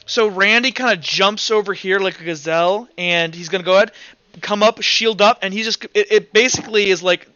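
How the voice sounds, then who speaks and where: A man's voice: sits high, fairly quick, pushed and bright, clear, resonant tone, no room sound, dull audio. A man narrating a tabletop roleplaying game as game master, in a casual, informal way. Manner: casual, informal, sound-effect-laden descriptions. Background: music.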